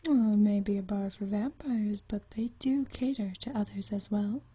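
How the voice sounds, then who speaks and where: A woman playing an ageless, genteel female vampire in an ASMR roleplay, soft and breathy, teasing her friend with languid, dry wit. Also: on the high side, heavily acted. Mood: teasing, relaxed. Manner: teasing, dryly witty, languid, genteel turns of phrase. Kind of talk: ASMR roleplay, intimate one-sided conversation.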